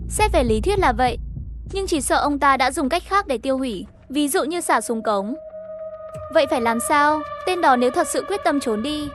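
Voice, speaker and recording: A woman reading a scripted story narration, voicing the dialogue lines herself as the narrator. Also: high-pitched. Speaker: narrator of an animated horror story recap.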